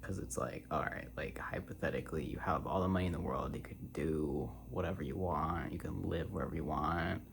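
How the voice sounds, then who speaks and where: A man in his twenties rambling casually in a soft-spoken ASMR style, drifting between a breathy murmur and a half-whisper. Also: extremely low pitch, fairly quick, few pauses. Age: 20s. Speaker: ASMR creator.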